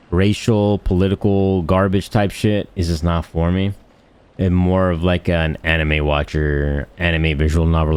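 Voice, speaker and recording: A man with a low voice hosts a gaming video. He talks off the cuff in a blunt, slangy style, rambling on with crude turns of phrase. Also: clean tone. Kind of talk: casual, unscripted gameplay commentary.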